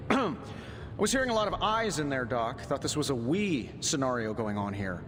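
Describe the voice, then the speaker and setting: A man's voice: mid-range voice, big pitch swings, unhurried, noisy background. A man voicing a cocky, wisecracking inventor superhero in a video game, casual and sarcastic. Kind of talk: video game cutscene acting. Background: loud music.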